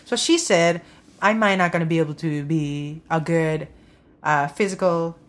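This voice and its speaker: A woman co-hosting a casual podcast chat, speaking halting non-native English with many fillers and frequent giggles. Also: low-pitched. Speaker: podcast co-host.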